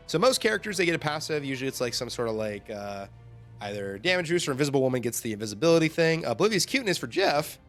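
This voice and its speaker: A young man hosting a casual livestream, rambling and laughing often, his voice getting hoarse from talking. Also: mid-range voice, a bit bright. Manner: chatty, rambling, laughs often, lots of fillers. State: losing his voice, throat-clearing. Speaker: livestream host. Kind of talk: casual game overview chat.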